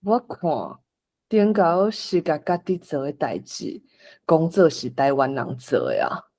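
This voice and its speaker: A woman's voice: deep voice, big pitch swings, unhurried, mellow. A woman with a Taiwanese accent narrating a scripted folk tale as storyteller.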